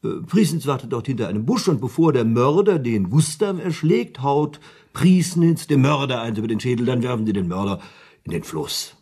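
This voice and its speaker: Animated, husky male storyteller. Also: mid-range voice, normal speed. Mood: improvising eagerly. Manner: theatrical, suspenseful, acts out lines. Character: eager male storyteller spinning out a crime plot. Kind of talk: German radio play dialogue, dramatic storytelling.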